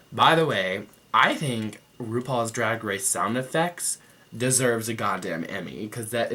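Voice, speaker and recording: A man's voice: lowish voice, fairly bright tone, slight background noise. A young man giving a sassy, profane, gossipy review to camera as a vlogger. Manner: sassy, profane and gossipy, with mocking quoted voices. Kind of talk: casual talk to camera.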